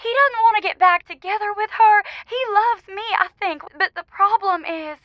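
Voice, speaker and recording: A woman's voice: extremely high pitch, normal speed, no echo. An actress voicing an anxious, lovesick young woman calling a radio show, fretful and earnest, through a dull phone-like line. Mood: earnest, exasperated. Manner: fretful, earnest, over-dramatic. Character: Sylvie, anxious, lovesick, gushing young female radio caller. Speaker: caller. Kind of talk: comedic radio drama phone-in.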